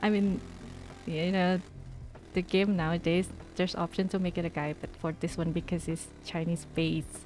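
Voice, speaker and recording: A woman with a mellow, soft-edged voice reads the game's story aloud, then drifts into casual, thinking-aloud chat with her viewers. Faint music sits under her voice. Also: lowish voice, flat delivery, normal speed. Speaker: streamer. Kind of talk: reading game text aloud and chatting with viewers.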